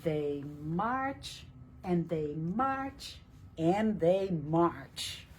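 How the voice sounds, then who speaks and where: A woman reading a picture book aloud to young children in a deliberate, teacherly way, asking questions as she goes. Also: fairly low pitch, expressive, slight background noise. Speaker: children's storytime reader at a library.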